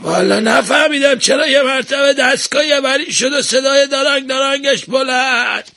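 A man's voice: extremely high pitch, vocal fry, sharp tone, voice pushed up, exaggerated voice. A man voicing a hapless, clumsy workman in a storybook tale, apologetic, flustered and earnestly pleading his innocence.

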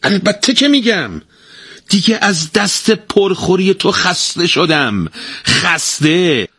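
A man's voice: mid-range voice, huge pitch swings, husky, dry recording, clean studio sound, pitched above the performer's own voice, full character voice. A man voicing an overworked, exasperated wife in a storybook folk tale, in a raised, scolding voice. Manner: pleading then shouting, scolding. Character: weary, exasperated, nagging wife. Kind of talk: storybook reading.

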